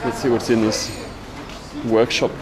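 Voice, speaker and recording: An Australian man narrating his own travel vlog in a casual, low-key way while walking through a workshop. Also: unhurried, over a noisy crowd, low-quality recording. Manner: relaxed, low-key commentary. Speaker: travel vlogger. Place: workshops and rooms in a weapons factory. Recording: loud background hum.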